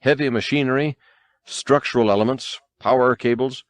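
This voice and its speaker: A man's voice: medium pitch, moderate pace, no echo, studio quality. A man narrating an audiobook in a dramatic, scripted storytelling style.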